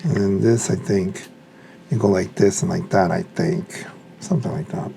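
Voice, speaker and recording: A man's voice: low-pitched, normal speed, gravelly, warm tone, a little hiss or hum. A man giving casual hands-on unboxing commentary, muttering to himself as he works with his hands. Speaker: hobbyist reviewer.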